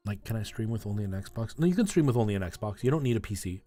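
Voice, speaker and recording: A man's voice: on the low side, expressive, fast, dark tone, soft background music. A man chatting casually and informally on a live game stream, rambling in asides to his viewers and giving tips.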